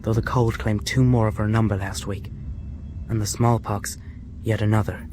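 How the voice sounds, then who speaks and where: Actor as anxious, devoted young prisoner. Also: male voice, fairly low pitch, steady tone, moderate pace, a little mellow, a little hiss or hum, close to an everyday voice. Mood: somber. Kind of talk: radio drama acting.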